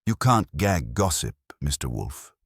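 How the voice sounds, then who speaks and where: A man's voice: very deep voice, sing-song, measured pace, slightly rough, no echo, studio quality, heavily acted. A man voicing a smug, pretentious artist who justifies himself with theatrical, polished phrasing. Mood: defiant. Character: smug, pretentious male artist defending his schemes.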